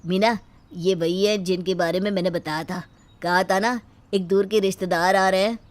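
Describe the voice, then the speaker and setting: A middle-aged family man as acted for an audio storybook, genial and polite. He sounds like a weary but courteous host at home, in a mid-pitched voice. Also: fairly even intonation, moderate pace, soft background music, natural voice. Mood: cheerful. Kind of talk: audio moral-story acting.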